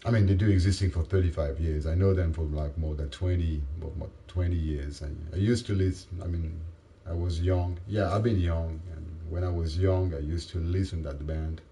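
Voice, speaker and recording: A man, tired after a late night, rambling haltingly to the camera in a self-mocking way. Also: fairly even intonation, dark tone, a little hiss or hum. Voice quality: deep voice. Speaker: vlogger. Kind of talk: casual rambling monologue.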